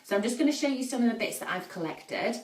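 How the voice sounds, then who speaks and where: A woman's voice: fairly high pitch, lively intonation, fast, a little hiss or hum. A woman with a northern English accent, chatting informally and explaining things as she hosts a casual live baby play demo at home. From northern England, Yorkshire. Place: indoors at a family house.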